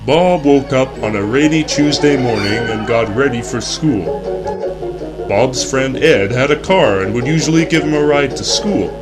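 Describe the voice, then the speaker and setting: A man's voice: medium pitch, normal speed, loud music behind the voice, poor audio. A young man reading scripted narration in the earnest, formal announcer style of a 1960s educational film. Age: young adult.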